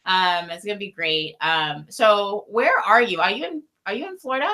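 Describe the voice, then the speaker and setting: A woman's voice: medium pitch, expressive, moderate pace, sharp tone. A woman co-hosting a casual live-stream chat, giggly and chatty. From Texas. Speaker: co-host.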